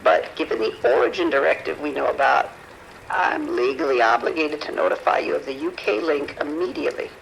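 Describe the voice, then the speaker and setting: A man's voice: a little high, expressive, a little fast, creaky, fairly bright tone, slight background noise, exaggerated voice, rough recording. A man playing a worried, apologetic scientist in an audio drama, speaking carefully and formally with hesitations. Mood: hesitant, formal. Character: apologetic, worried research scientist reporting trouble, male. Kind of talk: audio drama phone dialogue.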